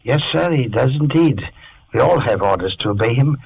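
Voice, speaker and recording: Husky butler, polite, animated, old radio play. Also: male voice, mid-range voice, moderate pace, full character voice, poor audio. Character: Poole, male butler, polite and deferential. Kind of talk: 1940s radio drama acting.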